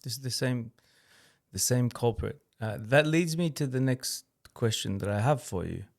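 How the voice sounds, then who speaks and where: A man's voice: medium pitch, lively intonation, normal speed, creaky. A man hosting a podcast, asking questions in a conversational interview style.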